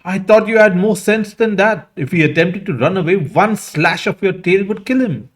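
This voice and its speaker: Man acting scheming rabbit, persuasive, assertive, fable storytelling, lifted pitch. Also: normal speed. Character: clever, scheming, brisk little rabbit.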